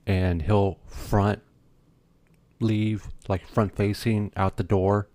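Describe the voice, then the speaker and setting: A man in his fifties with a low, mellow voice co-hosts a podcast, chatting casually and telling stories in an unhurried, matter-of-fact way. The sound is dry and close, with no room echo. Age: 50s. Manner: relaxed, storytelling, matter-of-fact. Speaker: podcast co-host. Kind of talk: casual chat.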